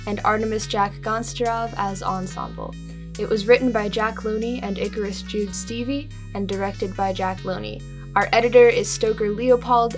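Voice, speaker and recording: Woman reading credits over music. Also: medium pitch. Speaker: credits announcer. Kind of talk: scripted podcast credits narration.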